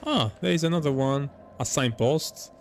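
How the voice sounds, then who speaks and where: A man's voice: medium pitch, moderate pace, slight background noise. A man giving casual, informal gameplay commentary, muttering reactions and exclamations as he plays. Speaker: gamer commenting on his playthrough. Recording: game music behind the voice.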